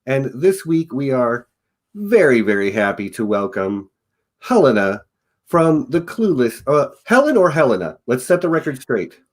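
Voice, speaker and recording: A man's voice: medium pitch, very animated, moderate pace. A man hosting a podcast, talking over a video call in a friendly, upbeat way. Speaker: podcast host. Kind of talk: casual interview chat.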